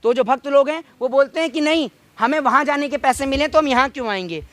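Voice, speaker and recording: A man with a high voice holds forth as an interviewee in a heated political debate. He is insistent and argumentative, often repeating a phrase to drive it home, and the sound is dry. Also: fast talker, a bit bright, dry recording. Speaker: interviewee arguing political views.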